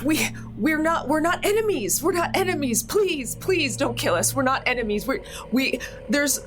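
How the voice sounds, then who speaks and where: A frightened, high-voiced woman in a tabletop horror story, acted out by a female player. She stammers and repeats herself in pleading bursts, with music behind her. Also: expressive, normal speed. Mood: panicked pleading. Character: frightened woman babbling pleas before a powerful horror.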